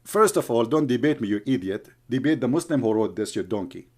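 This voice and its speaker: A man's voice: mid-range voice, expressive, a little fast, darkish tone. Scornful man. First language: Arabic. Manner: mocking, scornful, calls names. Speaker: online commentator. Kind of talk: heated argumentative commentary.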